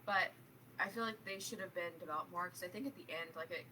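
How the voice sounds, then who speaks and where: Woman in a casual book club chat, slightly low and bright, hesitant phrasing, distant from the mic. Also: quick pace. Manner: hesitant, filler-heavy phrasing with frequent "like". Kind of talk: casual group book discussion. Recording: distant mic, faint background noise.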